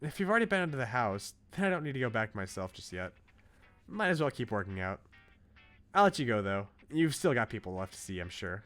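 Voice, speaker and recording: A man voicing a blunt, protective, teasing young woman coach in a visual novel, in a brisk, informal pep-talk manner. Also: medium pitch, big pitch swings, fairly bright tone, faint music behind. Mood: casual, teasing.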